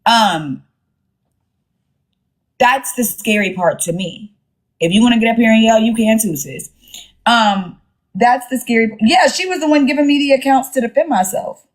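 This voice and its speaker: A woman's voice: a little high, moderate pace, long pauses, rough recording. A woman hosting a casual live stream, talking in a blunt, sassy, slangy way with plenty of swearing. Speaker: livestream host.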